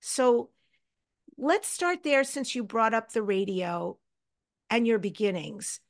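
A woman's voice: a little high, animated, takes it slowly. A woman hosting a podcast interview in a conversational, effusive and emphatic way.

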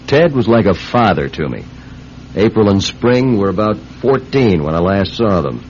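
A man's voice: fairly low pitch, big pitch swings, normal speed, a little mellow, slight background noise. An actor playing an easygoing young newspaperman sleuth who narrates a radio mystery in a wry, casual way. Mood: wry narration. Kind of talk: radio mystery drama acting with first-person narration. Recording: dull radio recording with music behind.

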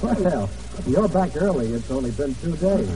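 Grim hunted sailor, old radio drama. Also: male voice, fairly high pitch, lively intonation, a little slow, mellow, slight background noise. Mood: anxious. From United States. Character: hunted American Navy man in hiding, weary and determined. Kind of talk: old radio drama acting, first-person narration and dialogue. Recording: old radio broadcast, distant, music behind.

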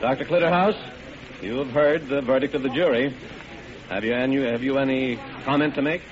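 An actor playing a patient, formal trial judge, courteous and dryly bewildered, in 1940s radio drama style. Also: male voice, mid-range voice, fairly quick, crowd noise, close to an everyday voice. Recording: noisy, muffled vintage radio broadcast.

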